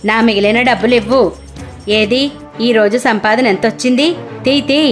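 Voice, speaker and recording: A woman's voice: fairly high pitch, fairly even intonation, normal speed, natural voice. A menacing moneylender, played by a woman in a cartoon tale, with music underneath. He is demanding and bullying, a man who threatens those in his debt.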